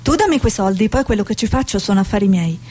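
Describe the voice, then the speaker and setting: A woman's voice: mid-range voice, expressive, quick pace, mellow, natural voice. An actress playing a stubborn, earnest woman war reporter in a radio drama. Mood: insistent. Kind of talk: Italian stage-style radio drama acting.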